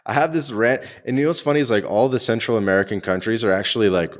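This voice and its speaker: Deep-voiced chatty podcast host, a man. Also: fast. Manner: enthusiastic, chatty, hesitant fillers like "uh" and "like". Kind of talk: casual interview chat.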